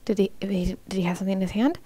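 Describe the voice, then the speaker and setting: A woman's voice: medium pitch, very fast, noisy background. A woman co-hosting a casual reaction show, thinking aloud in a puzzled way.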